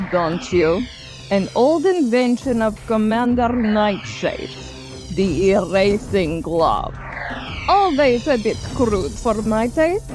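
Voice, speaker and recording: A woman playing a haughty, scheming empress villain in a taunting, theatrical way for a fantasy audio drama. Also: a little high.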